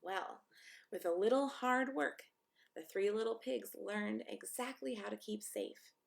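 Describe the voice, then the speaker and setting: A woman's voice: mid-range voice, unhurried, creaky. A woman pastor reading a children's story aloud expressively, with chatty asides to young listeners.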